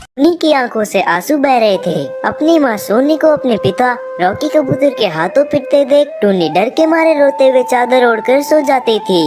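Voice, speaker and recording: A woman narrating a scripted moral story for children as the storyteller. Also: on the high side. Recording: clear music behind the voice.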